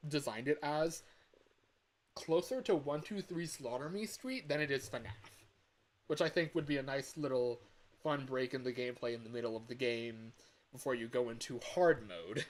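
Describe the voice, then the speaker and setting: A man talking casually on a livestream as a game developer, thinking aloud in a hesitant, halting way. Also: mid-range voice.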